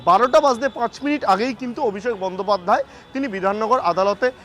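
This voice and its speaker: A man's voice: high-pitched, fast talker, fairly bright tone, soft background music. A man reporting live for the news off the cuff, in an emphatic, repetitive way with hesitant phrasing.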